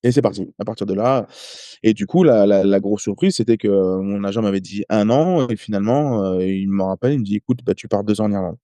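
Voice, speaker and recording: A French man in his thirties, a rugby player guest, telling stories in casual podcast talk, halting with many hesitations and restarts. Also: fairly low pitch, warm tone, dry recording. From France. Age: 30s. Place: home in Ireland.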